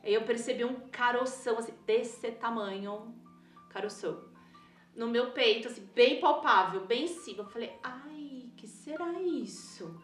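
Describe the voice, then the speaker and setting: A woman with a São Paulo accent telling her story as a guest in a casual interview, lively and quick to laugh. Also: on the high side, roomy sound, soft background music. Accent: São Paulo Portuguese. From São Paulo, Brazil. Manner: animated, laughs often, acts out quotes.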